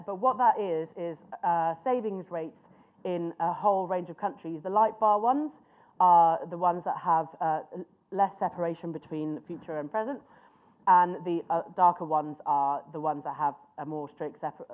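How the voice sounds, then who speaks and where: A woman's voice: on the low side, phone-quality sound. Woman giving a class. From Finland. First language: Finnish. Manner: conversational, pauses to search for words. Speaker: conference speaker. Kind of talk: master class presentation.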